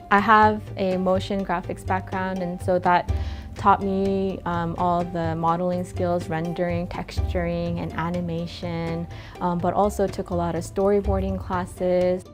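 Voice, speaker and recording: A woman's voice: lowish voice, level tone, measured pace. A woman talking casually about her work, chatty and enthusiastic, with filler words. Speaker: visual strategist. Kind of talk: casual interview talk. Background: music.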